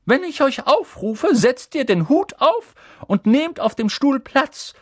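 A man's voice: extremely high pitch, very animated, slow, no room sound, studio quality, pitched above the performer's own voice, full character voice. A man voicing a stern older woman professor. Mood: commanding. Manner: loud, commanding roll call.

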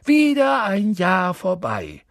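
A man plays an exuberant old headmaster from an audiobook. His voice is pitched up and very animated. He declaims with playful, jovial flourish. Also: fast talker, gravelly, no echo, studio quality, full character voice. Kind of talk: audiobook character voice.

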